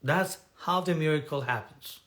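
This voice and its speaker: A man coaching an online audience in personal development, talking in a halting way with frequent restarts as he searches for words. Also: fairly high pitch, a bit bright. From has lived in Turkey for decades. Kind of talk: live talk to an online audience.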